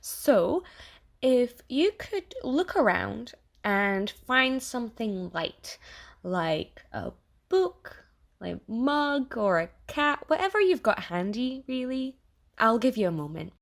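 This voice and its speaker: A woman's voice: fairly high pitch, big pitch swings, slow pace, gravelly, full character voice. A woman playing an eager, awkward and apologetic AI assistant who lives inside someone's head, in an audio drama monologue. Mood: awkwardly encouraging. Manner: bubbly, awkward, hesitant, apologetic, over-reassuring. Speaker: AI personal assistant. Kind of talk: audio theatre monologue addressed to the listener.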